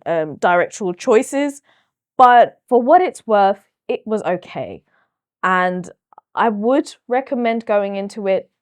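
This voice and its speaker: A woman's voice: mid-range voice, a little mellow, clean tone. A woman with a Cockney accent reviewing a film on her own channel, frank and opinionated. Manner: frank and opinionated, with occasional fillers. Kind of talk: solo movie review to camera.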